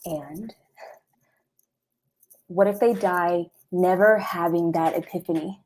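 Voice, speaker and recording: An actress playing a measured, questioning woman in a streamed one-act play, speaking in a deliberate, halting way. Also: fairly low pitch, a little mellow. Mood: grave. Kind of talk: stage play acting over video stream.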